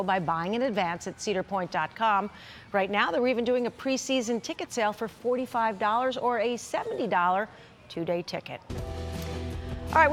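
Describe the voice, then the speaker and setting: A woman with a slightly high voice presents consumer news on television. She is chatty and conversational, addressing viewers directly, with faint music behind her. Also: fairly bright tone. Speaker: TV news anchor and consumer reporter.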